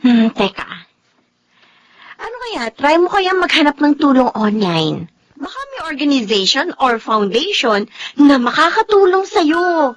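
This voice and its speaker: A woman's voice: high-pitched, very animated, measured pace. A Filipino woman playing a sensible, concerned young friend in a radio drama. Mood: thoughtful, helpful.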